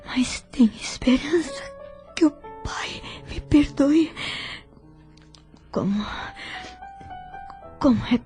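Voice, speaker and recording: Audio drama role, female actor: gravely ill older Brazilian woman, breathy and tearful, labored slow delivery over soft music. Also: high voice. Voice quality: breathy, weak whisper. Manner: halting, labored, tearful speech. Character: gravely ill, guilt-ridden older wife in bed. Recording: music under the voice.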